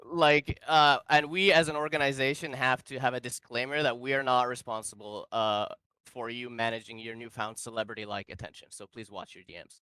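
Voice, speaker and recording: A young man makes a joking pitch for his team, mock-formal and deadpan, with plenty of ums. His voice is bright and crisp. The sound is close and dry. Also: a little fast, no room sound, rough recording. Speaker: team captain.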